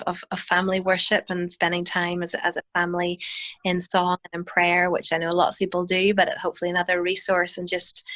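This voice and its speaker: A woman's voice: on the low side, pushed and bright. A Northern Irish woman in her thirties, a hymn writer talking through an interview over a video call, thoughtful with many restarts. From Northern Ireland.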